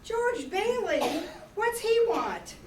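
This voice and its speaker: A woman's voice: extremely high pitch, very animated, takes it slowly, darkish tone, exaggerated voice. A woman playing a suspicious mother calling out in an old-time radio drama. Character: Mary's shrill, suspicious mother. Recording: echoey room, distant mic, hiss.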